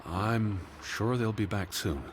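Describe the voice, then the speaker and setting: An actor playing a weary, anxious, bookish gentleman with a formal, precise manner in a 1920s period drama game. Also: on the low side, slow pace, noisy background. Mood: subdued, doubtful. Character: weary, anxious, bookish middle-aged gentleman searching for his sister.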